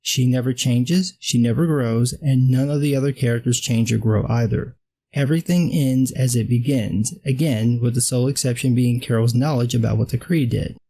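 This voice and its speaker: Man, dry husky voice, mellow tone, sarcastic, blunt, scripted film commentary. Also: medium pitch, normal speed. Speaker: film critic vlogger.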